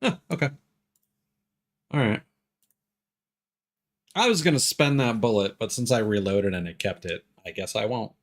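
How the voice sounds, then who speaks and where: A man's voice: fairly low pitch, animated, moderate pace, pauses often. A man doing casual live game commentary, rambling and thinking aloud as he plays. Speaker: game streamer.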